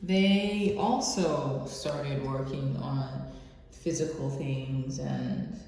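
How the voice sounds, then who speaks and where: A woman host giving an intuitive love reading off the cuff, halting and drawn out, with pauses. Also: rumbling low, creaky, a little mellow. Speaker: tarot reader, channel host. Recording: very echoey room, faint background noise.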